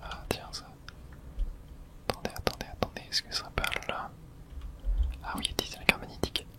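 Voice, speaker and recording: A person whispering informal ASMR commentary while playing a video game, muttering reactions with some mild swearing in a breathy, unvoiced voice. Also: brisk, bright, forceful tone. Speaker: gamer streaming playthrough for viewers.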